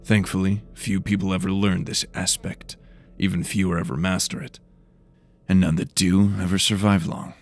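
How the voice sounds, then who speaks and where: A man's voice: low-pitched, flat delivery, moderate pace. A man playing a brusque investigator of magical murders in a fantasy audio drama, blunt and demanding. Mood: grim, explanatory.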